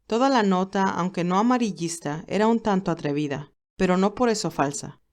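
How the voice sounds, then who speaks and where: A woman's voice: medium pitch, lively intonation, brisk. A woman narrator reading a scripted story.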